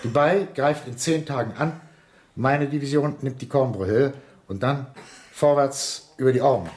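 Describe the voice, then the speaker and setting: An actor in a German radio play, playing a confident, bluff French general with a jovial military manner. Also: male voice, medium pitch, a little slow, a little mellow, lightly acted.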